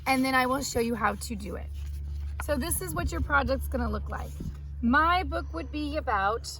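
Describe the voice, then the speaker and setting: A woman's voice: high-pitched, expressive, moderate pace, a bit bright, low-quality recording. A woman speaking as an elementary teacher to young children, reading aloud and explaining things simply, step by step. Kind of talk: read-aloud and children's art lesson.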